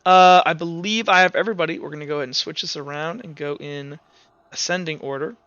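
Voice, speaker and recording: A man running a tabletop roleplaying game as game master in a casual, halting way, thinking aloud as he narrates. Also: fairly high pitch, bright, forceful tone, clean tone, no room sound, lo-fi sound.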